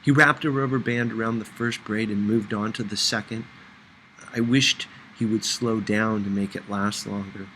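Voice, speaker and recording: A man's voice: on the low side, fairly even intonation, normal speed, bright, forceful tone, slight background noise, rough recording. A man narrating a first-person novel as an audiobook reader, in a scripted storytelling style.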